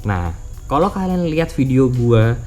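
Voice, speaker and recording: A man's voice: medium pitch, very animated, unhurried, mellow, a little hiss or hum. A man with a Jakarta Indonesian accent giving a casual product review as a vlogger, informally mixing English words into slangy speech. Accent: Indonesian, Jakarta colloquial. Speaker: motovlogger presenting a product review.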